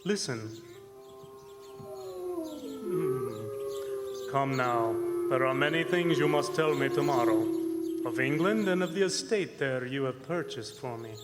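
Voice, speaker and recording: A man acting as a courteous, sinister vampire nobleman in a stage radio drama, speaking formally and with measured courtesy. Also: medium pitch, huge pitch swings. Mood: sinister hospitality. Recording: noisy live hall recording, long reverb.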